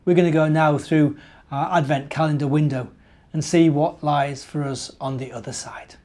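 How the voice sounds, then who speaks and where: Male minister as children's storyteller, mellow timbre, simple phrasing aimed at young listeners. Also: on the high side, steady tone, moderate pace, a little hiss or hum. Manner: asks the young listeners questions. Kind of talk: children's story time, narration and gentle talk.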